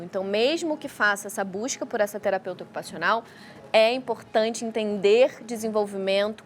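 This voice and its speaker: A woman with a Rio de Janeiro accent, speaking as a guest expert and explaining things on a talk show. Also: mid-range voice. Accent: Carioca (Rio de Janeiro) Brazilian Portuguese. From Rio de Janeiro, Brazil. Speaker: guest child feeding specialist.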